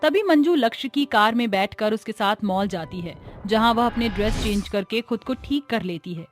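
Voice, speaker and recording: A female narrator reads a scripted cartoon tale. Her voice is on the high side, with quiet music playing behind it. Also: animated, normal speed. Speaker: story narrator.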